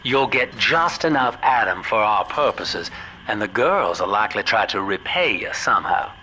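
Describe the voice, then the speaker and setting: Game role: folksy Southern American wheeler-dealer, avuncular and calculating, crisp bright delivery over faint background noise. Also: male voice, lowish voice, big pitch swings, moderate pace, low-quality recording. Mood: persuasive, calculating. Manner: folksy salesman patter, avuncular. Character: folksy, scheming male businessman, smooth opportunist guide. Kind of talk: video game voice acting, radio guidance.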